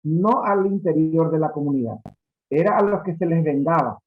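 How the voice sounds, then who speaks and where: A man explaining ideas in a seminar, speaking haltingly with many drawn-out fillers. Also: on the high side. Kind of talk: seminar discussion. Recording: dull lo-fi audio.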